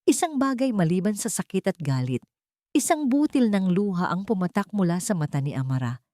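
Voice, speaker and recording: A woman's voice: mid-range voice, sing-song, fairly quick, warm tone, no room sound, clean studio sound. A woman reading a scripted dramatic story as an audiobook narrator.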